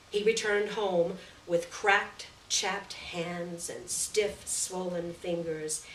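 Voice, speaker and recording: A woman reading a literary short story aloud in a measured, deliberate way, with pauses between phrases. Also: lowish voice, a bit bright. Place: roomy venue at a live reading. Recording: echoey room, distant mic, background noise.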